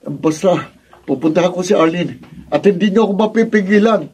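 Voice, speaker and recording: Mellow, husky young Filipino son. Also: male voice, high-pitched, a little fast. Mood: worried, determined. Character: earnest, devoted young son in love. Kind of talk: radio drama acting. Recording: distant studio camera mic.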